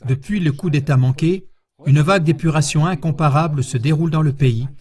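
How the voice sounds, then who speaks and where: A man reading a scripted news report in a measured, formal voice-over. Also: on the high side, darkish tone. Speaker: news narrator.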